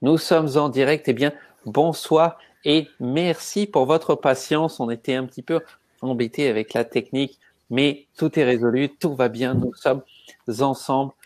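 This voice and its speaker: Male webinar host, calm and measured, addressing viewers on a video call. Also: mid-range voice. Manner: welcoming, measured, gives instructions to viewers. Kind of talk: hosting a live webinar.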